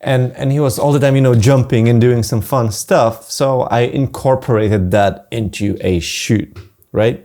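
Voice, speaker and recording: A man's voice: mid-range voice, measured pace, warm tone. A man with a Polish accent, a wedding photographer guest chatting casually, rambling with many fillers and restarts. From Poland. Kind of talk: casual interview chat.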